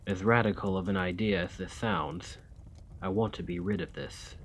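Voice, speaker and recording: A man playing a centuries-old vampire gentleman in a scripted ASMR roleplay, weary and courteous, with formal, old-fashioned manners and a measured delivery. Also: low-pitched, steady tone, creaky, a little hiss or hum. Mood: confessional, sorrowful.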